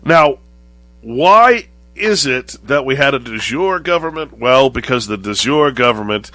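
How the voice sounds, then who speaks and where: A man with a cold-thickened voice, hosting a show and lecturing deliberately to his viewers, often rephrasing as he goes. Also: medium pitch, lively intonation, bright, forceful tone, dry recording. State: voice lowered and congested, recovering from chest cold. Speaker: show host. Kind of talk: monologue to viewers, political and religious commentary.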